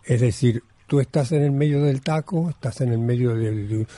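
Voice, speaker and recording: Argentine guy, zen monk being interviewed, soft dark husky voice, thoughtful, repeats himself while hunting for words. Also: medium pitch, moderate pace. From Buenos Aires, Argentina. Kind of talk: reflective conversation.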